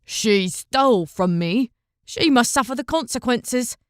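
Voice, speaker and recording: A woman voicing a burly, greedy male fisherman in an audiobook, talking loudly and bluntly with threats. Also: medium pitch, measured pace, smooth, dry recording, clean studio sound, close to an everyday voice. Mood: indignant. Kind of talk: audiobook character voice.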